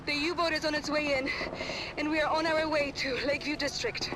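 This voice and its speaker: A woman playing a steady, determined resistance fighter speaking over radio in a video game cutscene. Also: high-pitched, fairly quick, noisy background. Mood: composed, determined.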